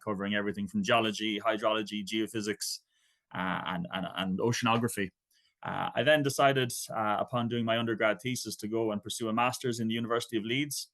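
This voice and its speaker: An Irish man in his thirties with a fairly low voice, speaking over a video call. He gives his lecture in a relaxed, conversational way and drops in frequent asides. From Ireland. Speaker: guest lecturer. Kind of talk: online academic talk.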